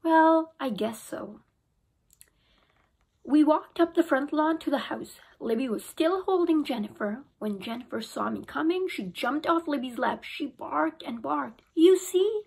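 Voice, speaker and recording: High-voiced young woman reading a children's story. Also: moderate pace, vocal fry, few pauses, poor audio. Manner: expressive storytelling, occasional restarts. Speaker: teacher. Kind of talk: children's book read-aloud. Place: small room at home. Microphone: phone camera held close.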